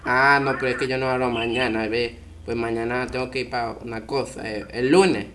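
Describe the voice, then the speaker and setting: A man's voice: mid-range voice, fairly even intonation, normal speed, fairly bright tone. A man with a Venezuelan accent making a prank phone call in casual talk, hesitant and repeating his words. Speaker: prank caller.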